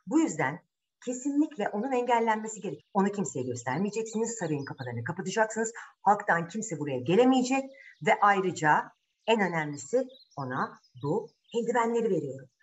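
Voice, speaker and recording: A woman's voice: medium pitch, sing-song, a little fast, far from the mic, natural voice. A woman voicing a wise, stern troll healer who gives grave instructions in a children's fairy tale. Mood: stern, warning.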